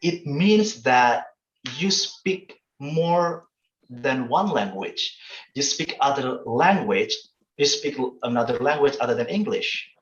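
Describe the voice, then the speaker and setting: A man's voice: mid-range voice, lively intonation, slow. A man with an Indonesian accent speaks casually as a presenter, explaining things with examples and often checking for agreement. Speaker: webinar presenter. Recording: dull, echoey online call audio.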